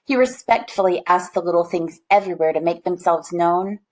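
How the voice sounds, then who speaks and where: A woman's voice: on the low side, fairly quick. A woman reading a children's picture book aloud, expressively, with chatty asides to young listeners. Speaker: storybook reader.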